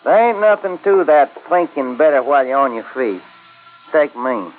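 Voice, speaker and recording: A man's voice: fairly high pitch, animated, moderate pace, soft background music, lightly acted. A man playing a menacing, mock-friendly gunman with folksy, drawling talk, in 1940s radio adventure style. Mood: mock-friendly menace. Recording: tinny noisy old radio recording.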